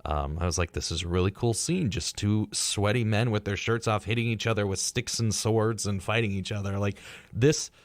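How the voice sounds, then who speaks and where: Low-voiced man in casual discussion, dry sound. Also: moderate pace, hardly any pauses, dry recording. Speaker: podcast co-host. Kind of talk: casual pop-culture discussion.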